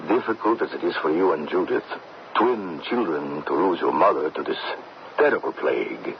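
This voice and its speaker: A man acting a kindly, grave middle-aged village doctor in an old-time radio drama, measured and consoling. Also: a bass voice, expressive, vocal fry, heavily acted. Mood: solemn, consoling. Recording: dull, faintly noisy old radio broadcast.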